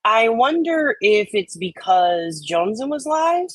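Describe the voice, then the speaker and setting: A woman's voice: fairly high pitch, very animated, unhurried, no room sound. A woman hosting a livestream with casual chat, joking and swearing in an informal way. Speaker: livestream host.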